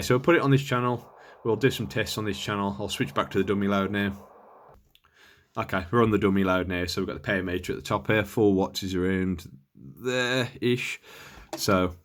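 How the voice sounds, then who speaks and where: A man giving a casual hands-on gear demonstration in a hesitant, offhand, understated way. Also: on the low side. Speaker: presenter of a radio gear review.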